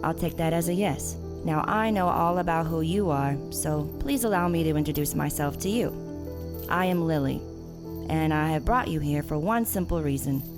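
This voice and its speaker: A woman's voice: low-pitched, moderate pace, music in the background, natural voice. A woman playing a self-righteous, menacing killer gardener in an audio drama, theatrical and condescending as she taunts her captive. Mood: cold condescension. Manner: theatrical, condescending, swinging from soft to shouting. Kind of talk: audio drama villain monologue.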